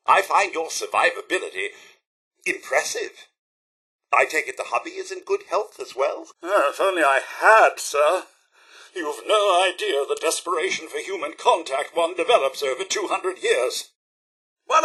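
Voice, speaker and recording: A man's voice: high-pitched, sharp tone, breathy edge, full character voice. A man with a British accent voicing a devoted, fussy, sentimental robot butler in a video game, speaking formally and with effusive deference. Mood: aggrieved. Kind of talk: acted video game companion dialogue.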